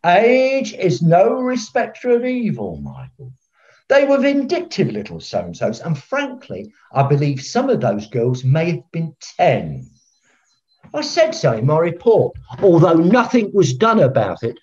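Theatrical, wildly swooping older referee. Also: male voice, on the high side, wildly swooping pitch, measured pace, a little mellow. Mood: indignant. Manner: self-important, theatrical. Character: pompous, eccentric veteran football referee, older man, self-righteous. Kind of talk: filmed comedy acting on a video call. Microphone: video call. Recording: dull, lo-fi video call audio.

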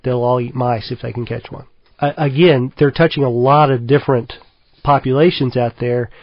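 A man's voice: mid-range voice, dry recording, dull audio. A middle-aged man from Kentucky, a wildlife biologist, talking in an easygoing, thoughtful way as a radio interview guest. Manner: easygoing, thoughtful, lots of fillers.

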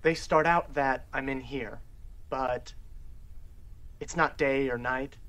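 An actor playing a frightened young man who haltingly recounts a nightmare in a diner, in film audio. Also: medium pitch, fairly even intonation. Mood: fearful. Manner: anxious, halting, hushed retelling.

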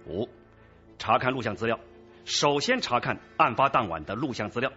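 An actor in a radio drama playing a patient, methodical police squad leader, affable yet authoritative. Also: male voice, mid-range voice, lively intonation, moderate pace, sharp tone, soft background music. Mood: businesslike, firm. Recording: dull lo-fi radio drama track.

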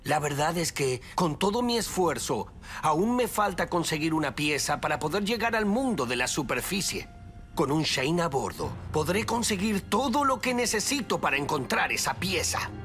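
A man playing an eager, smooth-talking, obsessive expedition leader in a cartoon, with a Latin American accent. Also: a little high, very animated, normal speed, fairly bright tone, soft background music. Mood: earnest.

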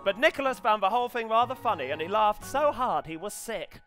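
An English man in his thirties giving a live comedy reading as a writer, in a hesitant, earnest, deadpan manner. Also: high-pitched, brisk, faint music behind. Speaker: comedian reading as an amateur novelist character. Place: small stage set indoors, before an audience. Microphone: headset.

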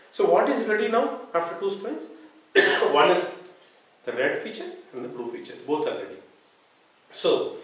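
Indian guy teaching, lively up and down voice, really echoey room, bit far from the mic. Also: on the high side. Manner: emphatic teaching, rhetorical questions and check-in tags. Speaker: trainer. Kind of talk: explanatory lesson at a chart. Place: small bare-walled room indoors. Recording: echoey room, distant microphone.

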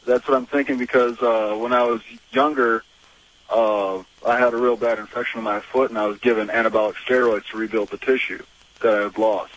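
A man's voice: medium pitch, fairly even intonation, a bit bright, poor audio. Hesitant man in his twenties on a cell phone. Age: 20s. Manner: hesitant, halting. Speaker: caller. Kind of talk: phone call to radio.

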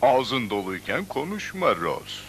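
A man voicing the heroine's helper and guide in a Turkish-dubbed children's cartoon, explaining things in an animated way. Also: mid-range voice, measured pace, faint music behind. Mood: friendly.

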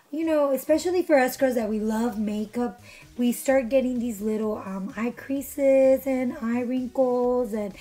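A young woman, a little hoarse from being under the weather, chatting bubbly through a makeup tutorial in her bedroom, filmed close by her camera. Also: on the high side, mellow. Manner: chatty, bubbly, lots of fillers. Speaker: beauty vlogger. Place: bedroom with couch, indoors. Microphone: camera in front at arm's length.

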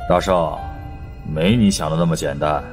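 A man voicing a grim, gloomy male comrade who talks gravely in an audio story. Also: rumbling low, fairly even intonation, normal speed, faint music behind, voice pushed down. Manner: glum, grave.

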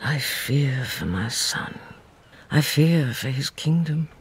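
An actor playing a worried, brooding old king with a husky voice, musing in a radio drama. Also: medium pitch, animated, slow, exaggerated voice. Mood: anxious brooding. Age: older. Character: weary, worried old king, brooding over his son. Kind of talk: reflective monologue in radio drama.

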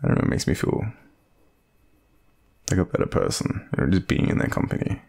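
A young Australian man playing a shy, lovestruck friend in an intimate romantic audio roleplay, speaking hesitantly in a deep, gravelly, breathy murmur. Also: fairly quick, a little mellow, lightly acted. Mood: shy, fond.

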